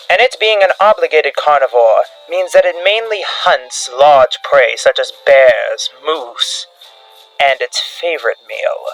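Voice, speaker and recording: Bright-voiced man narrating grave horror briefing. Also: mid-range voice, low-quality recording. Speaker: narrator of a fictional creature field briefing. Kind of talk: scripted analog horror narration. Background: background music.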